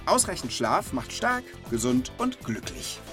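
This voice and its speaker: A man hosting a children's knowledge podcast, explaining casually and colloquially with animated reactions. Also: sits high, measured pace, vocal fry, faint music behind.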